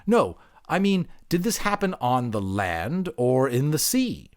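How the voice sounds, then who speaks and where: A keen male academic interviewer from a storybook, put on by a narrator, raised in pitch and very lively in tone. He is over-polite and placating, forever probing with careful questions. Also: unhurried. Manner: fussily polite, placating, inquisitive. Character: eager, overly polite, mopey-turned-keen male anthropologist interviewer. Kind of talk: audiobook character voice.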